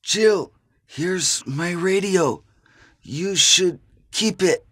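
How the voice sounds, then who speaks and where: Brief: wounded male soldier, weak, pained, halting and slow, stilted retro game acting. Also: high voice, fairly bright tone, no room sound, heavily acted. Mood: weak, fading. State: in pain, weak. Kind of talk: 1990s video game voice acting.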